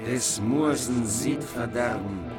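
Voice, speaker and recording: A man recites medieval verse slowly and rhythmically, almost chanting. Loud music plays beneath him, and his voice sits at a middle pitch.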